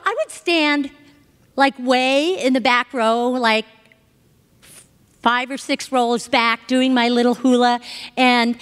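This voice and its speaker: American lady reverend doing a chatty sunday talk, kinda high voice, echoey hall, keeps asking little questions. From Hawaii, United States. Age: middle-aged. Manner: animated teaching, frequent check-in questions to listeners. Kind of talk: informal, conversational sermon. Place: large indoor spiritual center, speaking to a congregation.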